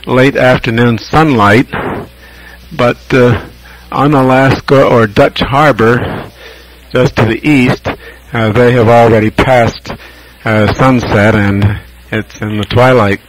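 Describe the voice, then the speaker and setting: An American man chatting casually as a ham radio operator over shortwave, measured and hesitant, pausing often as he describes things. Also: medium pitch, steady tone, slight background noise, poor audio.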